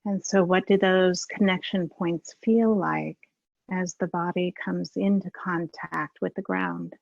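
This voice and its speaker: A woman leading a guided meditation as a teacher, unhurried and leaving long pauses. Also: fairly low pitch, expressive, vocal fry, darkish tone, dry recording, lo-fi sound.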